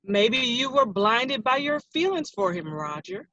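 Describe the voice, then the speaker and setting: A woman's voice: on the high side, sing-song, unhurried, a bit bright, slight background noise. A woman playing an earnest, bookish, conciliatory friend in a play reading, choosing words hesitantly. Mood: earnest, careful. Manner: hesitant, careful phrasing. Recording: dull lo-fi close recording.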